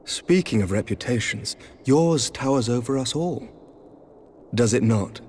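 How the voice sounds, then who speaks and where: An actor playing a dignified, courteous knight commander in a video game, speaking formally and resolutely. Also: male voice, mid-range voice, expressive, unhurried, darkish tone, slight background noise, close to an everyday voice. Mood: courteous, composed. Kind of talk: scripted video game cutscene dialogue. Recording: orchestral game music behind the voice.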